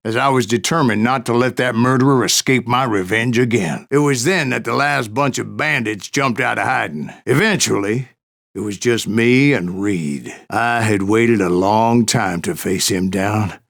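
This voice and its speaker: An actor playing an old American Wild West bounty hunter, gruff and weathered, spinning vengeful tales in an unhurried, folksy, salty way. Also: male voice, mid-range voice, sharp tone, full character voice. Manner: unhurried, folksy, salty-tongued drawling storyteller. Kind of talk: first-person yarn-spinning narration for a Western game.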